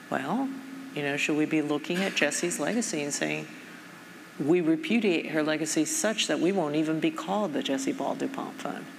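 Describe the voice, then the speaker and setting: Measured woman with a gravelly edge. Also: sits low. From Japan. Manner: thoughtful, measured, frequent fillers. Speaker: foundation president. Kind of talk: onstage conversation. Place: large conference stage. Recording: reverberant hall, faint noise.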